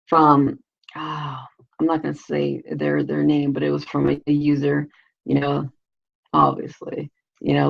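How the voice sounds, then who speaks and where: A woman with a low, raspy, heavily gravelly voice chats loosely on her own live show, rambling and crude, with "you know" in almost every phrase. The sound is dull and lo-fi. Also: darkish tone. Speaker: vlogger, show host. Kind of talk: casual live video chat.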